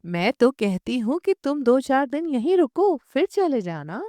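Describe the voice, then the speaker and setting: An excitable unmarried woman, acted by a female narrator in a storybook reading, chiding and eager for company. Her voice sits high and swings expressively as she exclaims and reproaches. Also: a little slow, no room sound, clean studio sound. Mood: urgent, insistent. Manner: exclaiming, chiding an old acquaintance. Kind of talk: audiobook short story dialogue.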